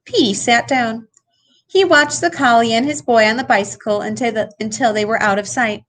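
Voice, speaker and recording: A woman reading a children's picture book aloud in an expressive way, with dramatic emphasis. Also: on the high side, normal speed, a little hiss or hum. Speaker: storybook reader. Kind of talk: animated children's read-aloud.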